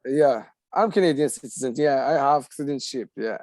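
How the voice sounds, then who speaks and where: A man's voice: mid-range voice, brisk, darkish tone, dry recording. A man in his forties from Morocco, an adult English student speaking hesitantly with many fillers and restarts. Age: 40s. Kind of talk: casual conversation.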